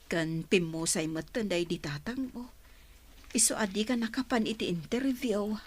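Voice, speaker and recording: A woman's voice: medium pitch, normal speed, natural voice. A woman acting as a sympathetic mother in an Ilocano radio drama, with an Ilocano accent. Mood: regretful. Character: sympathetic, hopeful mother of a grown daughter.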